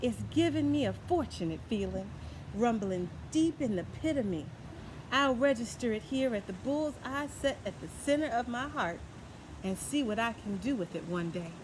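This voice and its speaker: A woman doing a storybook newborn girl, full of sweet wonder and a fairly high voice. The voice sits close to the reader's own, with steady background noise and passing traffic behind. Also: moderate pace, close to an everyday voice.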